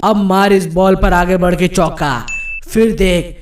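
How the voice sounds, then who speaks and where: A man's voice: sits high, fairly even intonation, a little slow, background noise. A man voicing a cocky star batsman in a comic cartoon, coaxing and swaggering as he talks. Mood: encouraging. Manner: swaggering, persuasive. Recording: distant voice under loud music.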